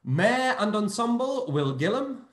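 A man hosting an online play reading, briskly announcing each cast member over a video call. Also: high voice, roomy sound. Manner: brisk, announcing names in a lively sing-song. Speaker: host and producer.

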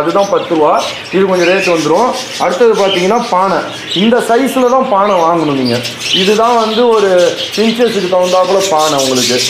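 A man who runs a bird farm, with a Tamil Nadu accent, talking viewers through his costs one by one in a casual how-to way. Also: high-pitched. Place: bird farm, amid birds. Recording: echoey, loud background noise. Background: birds chirping.

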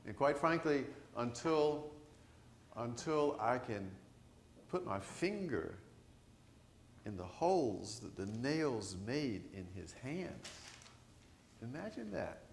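Older man, priest, lively conversational sermon, booming church echo, faint room hum. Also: slow pace, vocal fry, long pauses. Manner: animated, gesturing, conversational preaching with questions to the congregation. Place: large church sanctuary. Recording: echoey church sanctuary.

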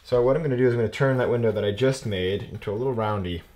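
A young man giving a casual hands-on demonstration as an instructor, thinking aloud in halting phrases as he works. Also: on the low side, darkish tone, slight background noise. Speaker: woodcarving instructor. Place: indoor workshop.

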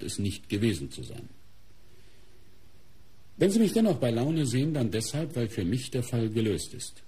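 An actor playing a shrewd, courteous police inspector in a German radio crime drama, speaking in a deliberate, formal, dramatic way. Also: male voice, mid-range voice, dark tone, husky, exaggerated voice.

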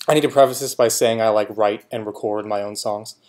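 Laid-back young man with a low voice. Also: fairly even intonation, fairly quick, a little mellow. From Florida. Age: young adult. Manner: loose and casual, lots of "like". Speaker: student. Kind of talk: self-introduction. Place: classroom. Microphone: laptop webcam.

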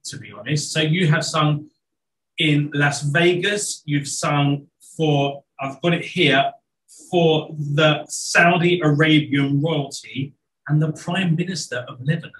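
A man's voice: on the high side, fairly bright tone, slight background noise. Enthusiastic middle-aged male host in an echoey room. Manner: enthusiastic, quick, exclaiming. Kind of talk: talk show interview. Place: indoors, decorated home studio. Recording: roomy echo, mic at a distance.

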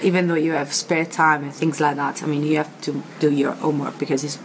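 Young woman, Italian accent, low-pitched, chatty with many fillers, webcam outdoors, faint background noise. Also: little pitch movement, low-quality recording. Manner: chatty, many fillers, repeats words slowly. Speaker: language teacher. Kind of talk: vocabulary lesson to camera. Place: outdoor terrace with plants.

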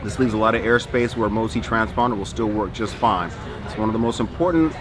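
A man's voice: on the low side, fairly quick, music in the background. An American man in his thirties giving an informational briefing as an official at an exhibit booth. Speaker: aviation official explaining a program. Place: exhibit booth in a large indoor hall. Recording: busy exhibit hall chatter.

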